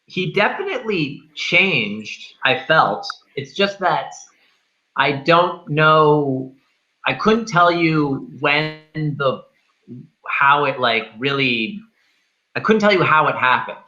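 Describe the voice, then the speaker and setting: A man's voice: on the high side, expressive, takes it slowly, sharp tone, muffled recording. A man in a casual film discussion, rambling and thinking aloud as he reviews. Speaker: host of a film review show.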